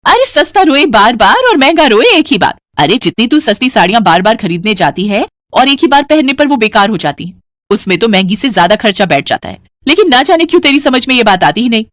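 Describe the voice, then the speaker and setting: A woman voicing a firm, sensible elder sister-in-law lecturing in an animated story. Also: a little high, quick pace, no room sound, clean studio sound. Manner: raised, lecturing voice.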